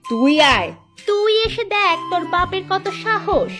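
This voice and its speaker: A woman playing a quarrelsome, sharp-tongued village wife in a cartoon, scolding and indignant. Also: very high voice, big pitch swings, unhurried, exaggerated voice. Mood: furious, taunting. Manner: scolding, taunting, indignant delivery. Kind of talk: Bangla cartoon dialogue. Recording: music under the voice.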